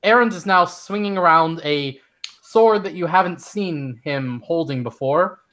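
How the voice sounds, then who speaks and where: A young man acting as game master, narrating a casual tabletop session in halting speech with many restarts. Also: fairly high pitch, pushed and bright.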